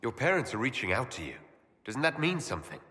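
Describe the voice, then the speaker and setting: A man playing a diplomatic elf leader in a fantasy game, measured, probing and direct. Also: medium pitch, very animated, bright, forceful tone, husky, full character voice. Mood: calm, probing. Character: calm, diplomatic male elf leader of an inquisition. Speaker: voice actor in a fantasy video game. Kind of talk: dramatic game cutscene dialogue. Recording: long hall reverb.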